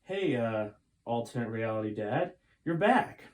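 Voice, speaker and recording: A man's voice: medium pitch, expressive, measured pace, a little mellow, close to an everyday voice. A man voicing the hero's blunt, serious son in a storybook, with a casual way of talking.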